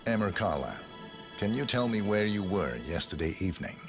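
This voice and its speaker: Game role: cool professional killer turned sleuth, low voice with wide swings, terse questions, music behind. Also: male voice, lively intonation. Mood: cool, inquiring. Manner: terse, measured, matter-of-fact questioning. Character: stoic professional assassin posing as a detective, called forty-seven. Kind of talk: video game cutscene acting.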